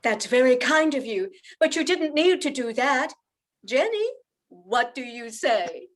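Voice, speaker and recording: Woman acting a high, expressive young mother. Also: a little slow. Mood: polite, welcoming. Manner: theatrical, heartfelt delivery. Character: emotional young widowed mother, polite and earnest. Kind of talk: amateur radio play acting.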